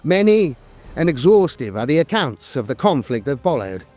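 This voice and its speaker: A man's voice: a little high, sing-song, normal speed, a little mellow, no room sound, faint music behind. A man narrating scripted lore in a dramatic, wry storytelling manner.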